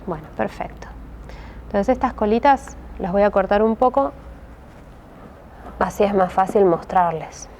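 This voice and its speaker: A woman's voice: medium pitch, unhurried, long pauses, a little mellow, slight background noise. Patient Rioplatense woman teaching a craft. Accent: Rioplatense Spanish. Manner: patient, with frequent "¿sí?" check-ins and many hesitations. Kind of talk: step-by-step craft tutorial.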